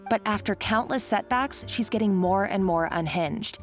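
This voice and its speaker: A woman's voice: mid-range voice, normal speed, soft background music, close to an everyday voice. A woman playing a timid, guilt-ridden young chef in a video game, speaking hesitantly and apologetically. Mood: earnest, subdued.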